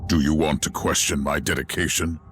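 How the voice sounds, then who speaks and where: An actor playing a stern, zealous, battle-hardened inquisitor in a video game, solemn and commanding, speaking formally. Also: male voice, rumbling low, fairly even intonation, fairly quick, slight background noise. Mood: grave, commanding. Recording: loud music behind the voice.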